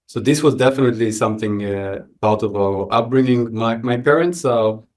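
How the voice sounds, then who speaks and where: A man with an Israeli accent answering interview questions reflectively, often pausing mid-sentence. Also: medium pitch, darkish tone. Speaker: podcast guest, entrepreneur.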